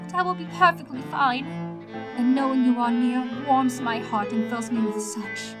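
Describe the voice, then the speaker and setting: A woman's voice: on the high side, normal speed, close to an everyday voice. A woman acting a haughty, scornful pony opera diva in an audio drama, theatrical and grandiose with a false sweetness. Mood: falsely sweet. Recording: music bed behind the voice.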